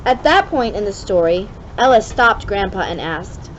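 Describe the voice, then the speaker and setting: A woman reading a children's storybook aloud in an expressive way, with deliberate dramatic emphasis. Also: mid-range voice, muffled recording, slight background noise. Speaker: storybook reader for a kids club.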